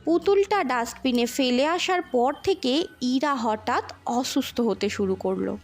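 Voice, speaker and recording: A woman narrating a scripted ghost story in an expressive, dramatic storytelling manner. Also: high-pitched, unhurried, faint music behind.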